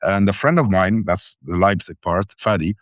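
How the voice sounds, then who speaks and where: Man, very low voice, earnest and rambling; guest on an interview show. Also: normal speed, no echo, studio quality. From Syria. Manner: rambling, earnest, restarts often. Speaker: band founder and vocalist. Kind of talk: interview guest, casual storytelling. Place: living in the Netherlands.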